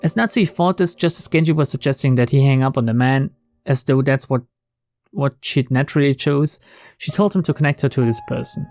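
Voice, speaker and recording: A man reading a visual novel aloud as narrator, in an expressive, dramatic storytelling style. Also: medium pitch, a little fast. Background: faint game music.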